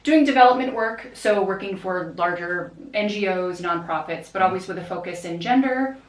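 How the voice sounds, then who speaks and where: A woman's voice: medium pitch, normal speed, distant mic. An American woman guest, a magazine editor, chatting casually and reflectively in an interview. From United States. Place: home room. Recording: echoey room, voice off-mic.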